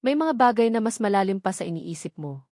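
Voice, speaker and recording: A woman's voice: medium pitch, big pitch swings, a little fast, mellow, no room sound, clean studio sound, lightly acted. A woman voicing a worried, sorrowful older mother in an audio romance drama, anguished and reproachful. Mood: pained, secretive.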